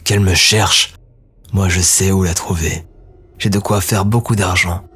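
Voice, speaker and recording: A man voicing a ruthless male dark elf warlord who speaks in a cold, measured way. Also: low-pitched, steady tone, husky, exaggerated voice. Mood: defiant.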